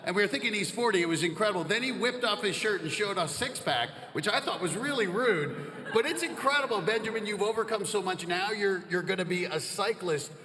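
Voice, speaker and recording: A man's voice: high voice, expressive, a little fast, bright, forceful tone, slight background noise. A man moderating a panel on stage, enthusiastic and joking with his guests. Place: stage in a large hall. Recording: long hall reverb.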